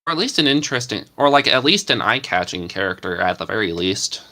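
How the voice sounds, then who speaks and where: A man's voice: fairly low pitch, sing-song, pushed and bright. A man on a podcast panel who writes ASMR scripts, talking in an easygoing, agreeable way. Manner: easygoing, quick to agree, chatty. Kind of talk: casual chat.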